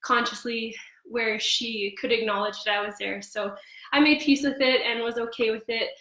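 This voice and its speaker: A young woman with a slightly hoarse voice, chatting casually on a live cooking stream with frequent ums. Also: a little high, fairly even intonation, moderate pace, fairly bright tone. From Canada. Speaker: host of a cooking livestream. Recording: echoey room.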